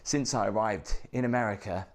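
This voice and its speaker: A man with a croaky, hoarse voice from the flu, giving an enthusiastic, chatty tour of a hotel room. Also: fairly low pitch, fairly quick. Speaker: hotel reviewer.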